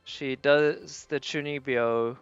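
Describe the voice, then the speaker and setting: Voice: mid-range voice, fairly even intonation, takes it slowly, clean tone, dull audio. A streamer chatting casually with viewers during a game, thinking aloud in a musing, hesitant way. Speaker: live streamer. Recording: game music behind the voice. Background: video game music.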